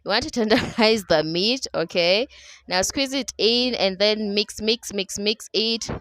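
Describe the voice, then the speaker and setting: A woman's voice: mid-range voice, unhurried, fairly bright tone, no echo. Chatty Kenyan woman with a dry voice-over. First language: Dholuo. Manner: chatty, laughing, keeps asking "okay?". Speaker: cooking vlogger. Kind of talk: casual cooking voice-over. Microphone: voice-over mic.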